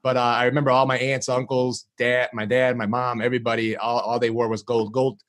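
Animated middle-aged man, Greek speaker. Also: medium pitch, brisk. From born in Greece, lived in the United States. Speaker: podcast guest, marketing agency founder. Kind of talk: casual chat. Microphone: video call.

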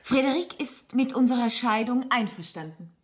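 An actress playing a composed, candid wife in a German radio drama, speaking quietly and deliberately, with restraint. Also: female voice, fairly high pitch, lively intonation, natural voice. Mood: pleasant. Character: composed, candid wife leaving her rich husband.

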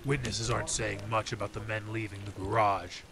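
Hardened veteran city detective, low gravelly voice, played by a man, hissy recording. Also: steady tone, brisk, close to an everyday voice. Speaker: Detective Henry Reeves. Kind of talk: old time radio drama acting. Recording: hissy background noise.

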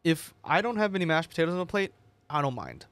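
Young male sports co-host, higher voice, smooth, dry studio sound, easygoing rambling chat. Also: big pitch swings, fast talker, a bit bright, no echo. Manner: chatty, rambling with fillers and repeats. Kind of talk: casual chat. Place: small TV studio, indoors. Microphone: desk mic on boom arm.

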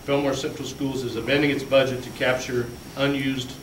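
A man plainly walks a board through budget handouts, pitch sitting in the middle of the range. He sounds far from the microphone in a roomy, echoing meeting hall. A faint hum runs underneath him. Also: little pitch movement, a little fast. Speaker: school business official presenting budget. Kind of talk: explaining figures at a school board meeting. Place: large meeting room with fluorescent lights. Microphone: far room camera. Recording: echoey room, distant mic, faint hum.

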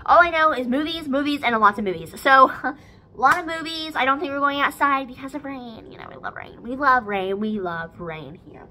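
A woman's voice: high voice, lively intonation, moderate pace, pushed and bright, slight background noise. A teenage girl vlogging casually from her living room, rambling with lots of fillers into a phone camera. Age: teens. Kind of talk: casual vlog chat. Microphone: phone camera at arm's length.

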